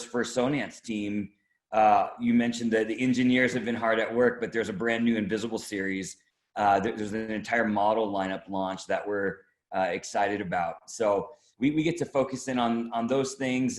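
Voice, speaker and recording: Male interviewee, lowish pitch, marketing director, halting conversational delivery, strong room echo. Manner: halting, hedging, conversational explanation. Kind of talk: product preview interview.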